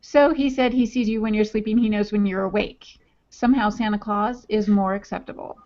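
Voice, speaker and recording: Mulher animada lendo comentários. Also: female voice, mid-range voice, steady tone, brisk, darkish tone. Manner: upbeat, reads comments aloud. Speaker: podcast co-host reading chat comments. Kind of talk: casual podcast chat. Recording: dull lo-fi audio.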